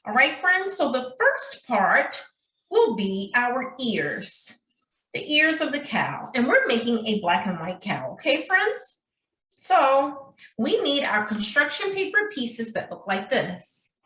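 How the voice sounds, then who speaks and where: A woman's voice: on the high side, sing-song, slow, bright, forceful tone. A woman preschool teacher reading aloud and leading a craft lesson in a sing-song way, asking young children questions. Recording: roomy, echoey indoor sound.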